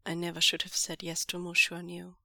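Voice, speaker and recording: A woman voicing a reserved, steady young man who speaks low, softly and tenderly. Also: creaky, dry recording, heavily acted. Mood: quiet tender. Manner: low, hushed and measured.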